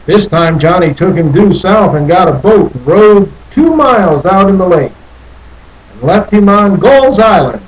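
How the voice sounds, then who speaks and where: Higher-voiced man reading a storybook, noisy recording. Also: animated, a little slow. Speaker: storybook reader. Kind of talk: expressive children's story read-aloud. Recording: noticeable background noise.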